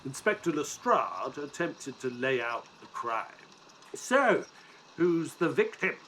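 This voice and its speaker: A man playing an earnest Victorian gentleman who narrates a detective audio drama. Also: mid-range voice, very animated, slow, a little hiss or hum, heavily acted. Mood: baffled.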